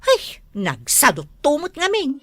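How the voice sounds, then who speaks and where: A woman's voice: high-pitched, wildly swooping pitch, measured pace, pushed and bright, no room sound, full character voice. A woman playing an exasperated younger wife in a comedy drama, complaining and scolding with a northern Philippine accent. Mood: grumbling. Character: exasperated nagging younger wife of a lazy husband.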